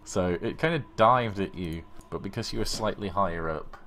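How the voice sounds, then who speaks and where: A man's voice: low-pitched, normal speed, a bit bright, a little hiss or hum. A man improvising narration as game master of a tabletop role-playing game, speaking informally with some swearing.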